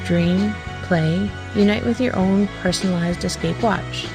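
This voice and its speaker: A woman reading a radio ad in a smooth, aspirational way. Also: medium pitch, measured pace, poor audio. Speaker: ad announcer. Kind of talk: scripted radio ad read. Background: guitar music.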